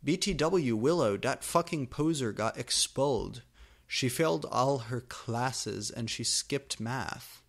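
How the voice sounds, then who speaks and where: A man voicing a cheerful, morbid teenage goth girl in a story reading, chirpy and energetic. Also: mid-range voice, slow pace. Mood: gleeful.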